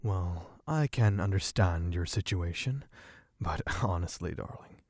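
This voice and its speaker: A man playing a sadistic, possessive vampire in an ASMR roleplay, speaking in a taunting, condescending, deliberate way. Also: low voice, expressive, no echo. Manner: taunting, condescending, slow and deliberate with soft chuckles. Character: sadistic, possessive male vampire toying with human captive.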